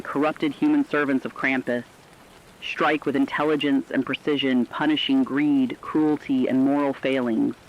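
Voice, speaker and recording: A man playing a solemn radio reporter, reading scripted horror bulletins in a measured, foreboding way. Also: medium pitch, close to an everyday voice. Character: solemn radio field reporter warning of terror. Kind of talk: scripted dramatic radio news narration. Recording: dull lo-fi radio sound, rain behind.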